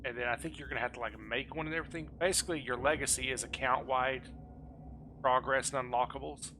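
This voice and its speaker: A man's voice: mid-range voice, fairly even intonation, fast, bright, forceful tone, a little hiss or hum, poor audio. A man chatting casually with friends while gaming online, joking and swearing freely in a salty way. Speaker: gamer playing online with guildmates.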